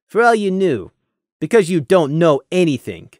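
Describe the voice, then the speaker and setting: A narrator voicing a stern, gruff male pegasus squad leader who talks low and commanding. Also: big pitch swings, moderate pace, a little mellow, no echo, studio quality, natural voice. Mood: scolding. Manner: commanding, low-voiced, scolding.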